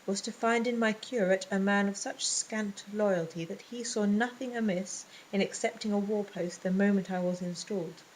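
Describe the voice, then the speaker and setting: A woman voicing a stern, ponderous middle-aged male vicar, speaking in a measured, disapproving way. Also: fairly even intonation, a little mellow, slight background noise, natural voice, poor audio. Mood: cold. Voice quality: low and ponderous. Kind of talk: audiobook reading.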